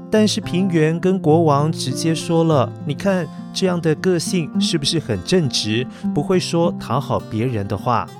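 A man tells a story for children in a fairly high voice, with music clearly behind him. He speaks as a host coaxing young listeners along.

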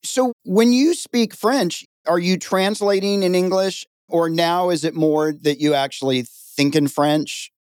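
A man hosting a podcast interview, animated and jokey with a comic's timing. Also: sits high, unhurried, hardly any pauses, dry recording, studio quality. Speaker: podcast host.

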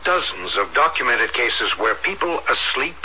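A man's voice: mid-range voice, big pitch swings, moderate pace, bright, forceful tone, breathy edge, heavily acted. A man in a radio drama playing an earnest psychiatrist, probing and insistent in his delivery. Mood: earnest, persuasive. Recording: noisy, muffled old radio broadcast.

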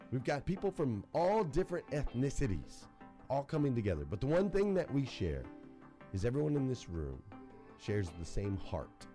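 A man hosting a podcast and delivering an upbeat, motivational intro speech. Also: mid-range voice. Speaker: podcast host. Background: music.

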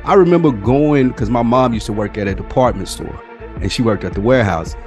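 A man's voice: mid-range voice, brisk, darkish tone, faint music behind. A man co-hosting a podcast, telling stories and bantering in a casual, conversational way. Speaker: podcast co-host.

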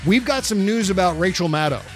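A middle-aged man hosts a political podcast in a loose, profane, conversational style that is full of uhs and restarts. His voice sits a little high for a man. Faint music plays underneath. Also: animated, fairly quick, a bit bright. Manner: conversational, profane, many fillers. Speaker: podcast host. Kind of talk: casual political chat.

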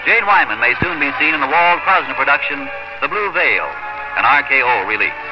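Animated 1950s radio announcer, male. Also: mid-range voice, fairly quick. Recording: muffled old radio recording, music behind.

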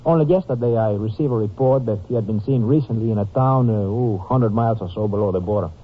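A man's voice: on the low side, fast talker, lightly acted. A man acting a courteous, earnest Mexican police officer with a Mexican accent and formal, careful phrasing in an old-time radio drama. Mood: formal, informative. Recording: noisy, narrow-band old radio recording.

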